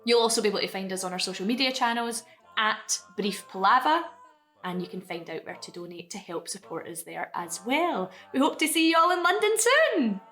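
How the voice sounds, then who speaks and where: A woman's voice: mid-range voice, animated, a little fast, pushed and bright. A woman reading a scripted promotional announcement for a podcast.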